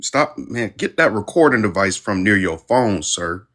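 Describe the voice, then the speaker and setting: A man's voice: fairly low pitch, animated, unhurried, fairly bright tone. A man moderating a live panel debate, firmly cutting in and laying down the rules. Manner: firm, commanding.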